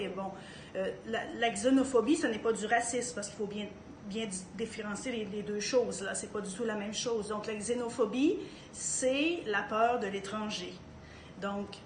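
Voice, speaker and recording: A woman's voice: mid-range voice, echoey room, slight background noise, low-quality recording. A woman from Canada with a Canadian French accent, speaking to camera in a casual monologue, hesitant, with many fillers and restarts. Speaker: party member speaking to camera.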